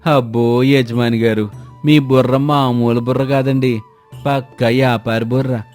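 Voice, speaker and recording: A man voicing a flattering, simple-minded henchman with rustic speech in a moral story. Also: medium pitch, unhurried, faint music behind, lightly acted. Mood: fawning. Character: fawning dim-witted male henchman of a contractor.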